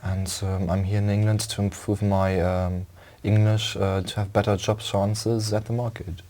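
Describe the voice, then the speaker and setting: A young man, answering speaking exam questions in a halting way, with many fillers. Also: lowish voice, level tone, mellow. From Germany. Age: young adult. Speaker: exam candidate.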